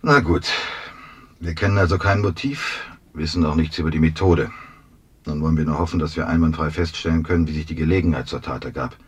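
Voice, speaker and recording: An actor playing a shrewd, dogged police investigator with a probing, deliberate manner in a radio drama. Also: male voice, rumbling low, breathy edge. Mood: probing, determined. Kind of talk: 1970s German radio drama acting.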